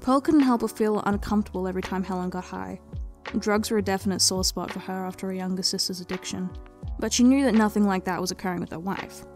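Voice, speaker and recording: A woman's voice: mid-range voice, fast talker, darkish tone. A woman narrating her own written story as a dramatic reading, in the manner of a storyteller. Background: background music.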